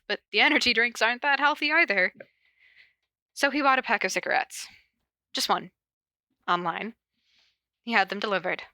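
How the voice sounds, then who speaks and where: A woman's voice: mid-range voice, sharp tone, dry recording. A woman hosting a podcast, casually reading stories aloud and chatting in an informal, giggly, self-interrupting way. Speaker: podcast host reading fan fiction aloud. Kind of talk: casual cold reading of stories and chat with co-host.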